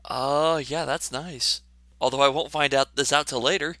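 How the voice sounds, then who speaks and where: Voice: medium pitch, fairly even intonation, moderate pace, a bit bright, no room sound. A player in a tabletop game, chatting casually and making wry, teasing remarks.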